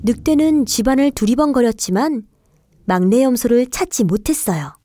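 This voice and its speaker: A woman's voice: on the high side, lively intonation, moderate pace, warm tone, no room sound, studio quality. A woman narrating a scripted children's fairy tale in a careful storytelling manner.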